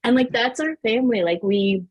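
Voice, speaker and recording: A woman from Southern New Mexico whose first language is Spanish, telling family stories as a podcast guest in an animated way, laughing often and saying "like" a lot. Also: a little high, moderate pace, husky, dry recording. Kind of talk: casual interview storytelling.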